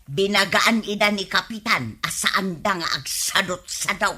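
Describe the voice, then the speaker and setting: A woman with an Ilocano accent playing a gossipy, complaining village housewife in an expressive radio comedy style. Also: medium pitch, measured pace, breathy edge.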